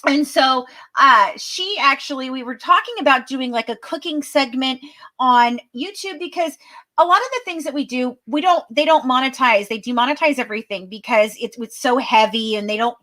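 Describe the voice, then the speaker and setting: A woman co-hosting a live podcast stream, chatting excitedly with plenty of fillers and restarts. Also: high-pitched. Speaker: podcast co-host. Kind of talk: casual live chat.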